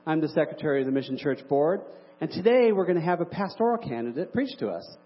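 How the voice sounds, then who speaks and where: A man with a husky, breathy voice that sits a little high, introducing the guest preacher in a plain, scripted way. The sound is dull and carries a long church-hall reverb. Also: big pitch swings, fast talker. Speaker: church board secretary. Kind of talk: formal introduction.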